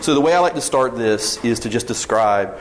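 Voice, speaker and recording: A man's voice: medium pitch, lively intonation, brisk. A man in his forties gives a casual conference presentation to an audience, polling them with questions and restarting his sentences often. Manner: informal, polls the audience, many restarts. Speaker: sales director presenting at a conference. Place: conference session room. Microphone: tethered mic. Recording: reverberant hall, faint ambience.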